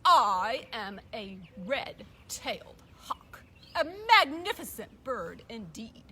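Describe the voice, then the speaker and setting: A woman's voice: on the high side, sing-song, takes it slowly, bright, forceful tone, slightly rough, pitched below the performer's own voice, exaggerated voice. A woman voicing a proud red-tailed hawk in a children's puppet show, stately and self-admiring. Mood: haughty. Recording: noisy live recording.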